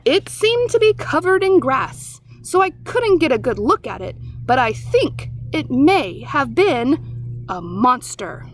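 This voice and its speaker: A woman's voice: high voice, big pitch swings, takes it slowly, soft background music, full character voice. Swooper, a woman doing a jumpy male owl. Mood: anxious.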